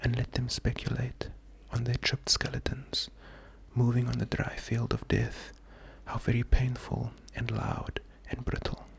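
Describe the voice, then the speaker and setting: A man doing a slow, soft-spoken ASMR poetry reading, gentle and calming, half-whispered with a breathy, creaky voice. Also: mid-range voice. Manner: gentle, unhurried, calming, often repeating words. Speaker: ASMR host.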